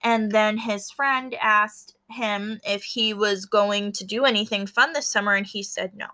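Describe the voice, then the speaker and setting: A woman teacher reading a book aloud to fourth graders, pausing to explain and prompting them to notice and wonder. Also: mid-range voice, steady tone, bright, forceful tone. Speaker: elementary school teacher. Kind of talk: read-aloud lesson for fourth graders, explaining as she goes.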